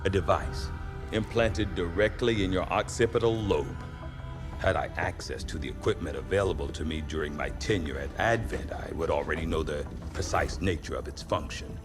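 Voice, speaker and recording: A proud chief scientist in a game cutscene voiced by a man. His voice sits very low, and he words everything formally and precisely, with measured, erudite phrasing. Music plays behind him. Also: close to an everyday voice. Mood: proud, assured. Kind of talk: video game cutscene acting.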